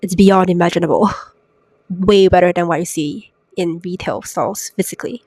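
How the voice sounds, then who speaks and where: Raspy congested young woman podcasting. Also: fairly low pitch, moderate pace, darkish tone, dry recording. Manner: chatty, self-mocking, rhetorical questions. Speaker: podcast host. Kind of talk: casual solo podcast talk. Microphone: new podcast microphone.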